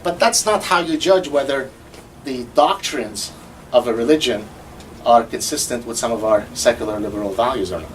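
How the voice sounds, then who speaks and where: A man's voice: medium pitch, moderate pace, distant mic, slight background noise, rough recording. Man lecturing with sarcasm. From Lebanon. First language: Arabic. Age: 50s. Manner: animated, sarcastic, mocks opponents in quoted voices. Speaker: host. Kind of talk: lecture-style commentary.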